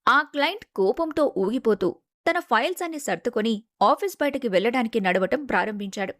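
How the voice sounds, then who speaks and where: Casting: female story narrator, slightly high voice, dramatic scripted delivery, dry close sound. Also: animated, a little fast, fairly bright tone, no echo.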